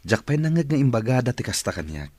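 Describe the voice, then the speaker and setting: A man's voice: mid-range voice, very animated, quick pace, darkish tone, no echo. An actor with an Ilocano Filipino accent playing a courteous, kindly male company boss in a radio drama.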